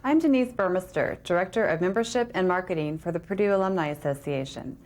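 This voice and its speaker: A woman's voice: fairly low pitch, fast, darkish tone. A woman giving a scripted introduction as a presenter. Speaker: alumni association marketing director, presenter.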